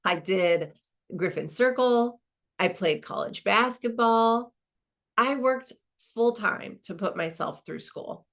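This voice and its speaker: A woman's voice: medium pitch, lively intonation, slow, vocal fry, a bit bright, full character voice. An actress playing a modest woman law school dean, giving a reflective, conversational monologue with small hesitations. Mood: candid, reminiscing. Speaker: performer of a dramatized narrative.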